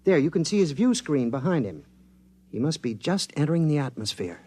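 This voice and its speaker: Excitable, lively-voiced male technician. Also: on the high side, a little fast. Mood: eager, explaining. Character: excitable male space-project technician, chummy, increasingly shaken. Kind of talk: 1950s science fiction radio acting.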